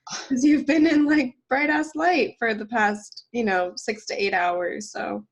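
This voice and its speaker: A woman's voice: on the high side, steady tone, a little slow, husky. Congested woman co-hosting a casual podcast. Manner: chatty, laughs often, many fillers. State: congested from a cold. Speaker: podcast co-host.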